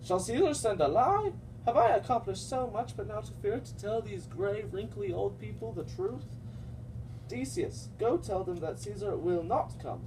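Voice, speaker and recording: A boastful, stubborn Roman ruler puppet played by a man, declaiming Shakespeare with comic sass and grandeur. The voice sits fairly high and swings widely in pitch. It is picked up from a distance. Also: animated, moderate pace. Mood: indignant, defiant. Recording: distant microphone, loud background noise.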